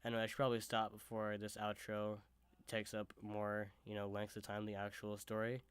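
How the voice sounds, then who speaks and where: A young man with a lowish, smooth voice tells a story in a casual, conversational way. He is sick, with a sore throat and stuffy sinuses, and the sound is close and dry. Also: monotone, fairly quick, no room sound. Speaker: YouTuber. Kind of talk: storytelling vlog.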